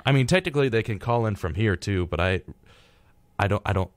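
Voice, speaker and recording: A young American man co-hosting a college radio show in casual chat, with halting phrasing and repeated words. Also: lowish voice, animated, dry recording. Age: young adult.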